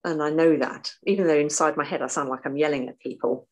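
Older woman guest, soft low voice, gestures a lot, video-call sound. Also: fast talker. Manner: animated, waves arms while talking. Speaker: guest author and artist. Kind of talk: casual video call interview. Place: home room, indoors.

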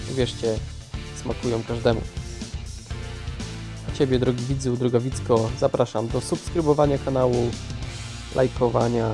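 A man giving scripted recipe narration as a cooking host. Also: mid-range voice. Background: guitar music.

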